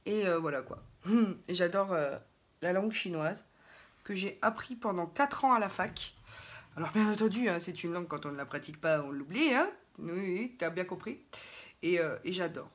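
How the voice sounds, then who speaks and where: A woman's voice: fairly low pitch, lively intonation, moderate pace. A young French woman chatting casually to viewers as she does a makeup tutorial, often drifting into asides. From France. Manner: chatty, digressive, talks to viewers. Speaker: beauty vlogger.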